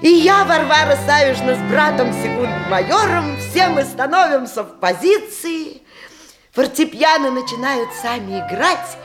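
High, lilting, jolly provincial lady. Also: lively intonation, measured pace. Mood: festive, proud. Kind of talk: theatrical radio comedy acting. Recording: music under archive radio play.

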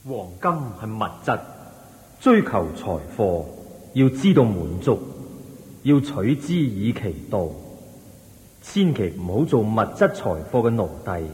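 Solemn Buddha in long reverb. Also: male voice, fairly low pitch, big pitch swings, unhurried, darkish tone. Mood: solemn, admonishing. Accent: Hong Kong Cantonese. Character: solemn, serene male Buddha teaching his followers. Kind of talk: Cantonese radio drama acting, solemn preaching.

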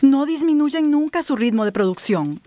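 An actress playing a troubled, probing professional woman in charge, with expressive, earnest delivery in a radio drama. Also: sits high, moderate pace. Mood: suspicious.

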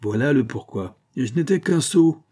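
A man's voice: fairly low pitch, normal speed, warm tone, close to an everyday voice. A man reading an audiobook, voicing a drunken, bitter glassmaker husband who bullies and wheedles, emphatic and sardonic. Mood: sneering, jealous.

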